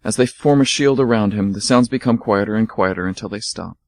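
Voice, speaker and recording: A man narrating an amateur radio drama in a calm, even storytelling voice. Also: fairly low pitch, a little fast, dry recording.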